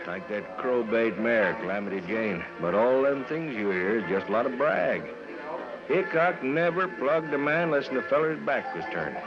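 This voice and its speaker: Man playing a sly, animated Western saloon tough. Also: medium pitch, natural voice. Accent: American Western. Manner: folksy, insinuating drawl. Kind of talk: 1950s radio western acting. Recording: muffled radio recording with music.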